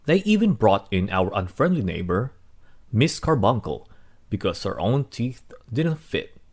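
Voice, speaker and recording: A man reading a children's storybook aloud as narrator, in an expressive, dramatic way. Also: on the low side, a little slow, husky. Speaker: read-aloud host.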